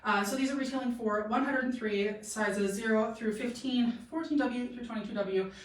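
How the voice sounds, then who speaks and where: A woman's voice: fairly high pitch, moderate pace. A chatty young woman from Canada rambles cheerfully through a clothing try-on, full of "like" and "um." Her throat is a little sore. She stands back from the camera, so her voice sounds distant and roomy. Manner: chatty, rambling, lots of "like" and "um" fillers. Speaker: boutique model and live-stream host. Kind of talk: casual live-stream try-on chat. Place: boutique room with a clothing rack. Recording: echoey room, voice from a distance.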